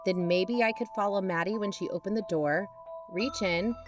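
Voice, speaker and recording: A woman playing an investigating aunt who narrates in a measured, explanatory way. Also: medium pitch, over music, lightly acted. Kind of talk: scripted audio drama narration.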